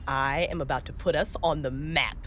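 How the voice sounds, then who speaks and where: An actress playing a brash, foul-mouthed, pushy paranormal investigator in a horror audio drama. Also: female voice, low-pitched, steady tone, moderate pace, a bit bright, slight background noise. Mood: determined.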